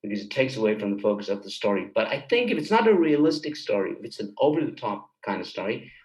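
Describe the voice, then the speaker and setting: A man's voice: lowish voice, very animated, fast. A man from Germany talking casually as an interview guest, explaining things with enthusiasm as a film director and composer. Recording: roomy, echoey sound.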